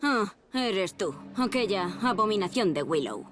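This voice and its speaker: A woman's voice: medium pitch, very animated, measured pace, heavy background noise. A woman voicing a haughty, prickly teenage witch rival with a Castilian Spanish accent in a cartoon dub. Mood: haughty, annoyed. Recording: music behind the voice.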